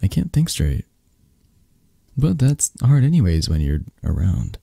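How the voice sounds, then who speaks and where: A man playing a possessive, flirtatious mafia boss who comforts a lover in intimate ASMR roleplay, murmuring softly and haltingly in a breathy, gravelly voice. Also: low-pitched, wildly swooping pitch, dry recording, clean studio sound, full character voice. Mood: affectionate, bashful. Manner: slow, soft, halting, soothing murmur.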